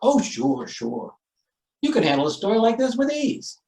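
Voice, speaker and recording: A man's voice: high-pitched, huge pitch swings, normal speed, vocal fry, a little mellow, exaggerated voice. Man playing an exasperated editor, echoey and distant. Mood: sarcastic exasperation. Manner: sarcastic, blustering. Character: exasperated, sarcastic male newspaper editor. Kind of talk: old-time radio comedy-thriller acting.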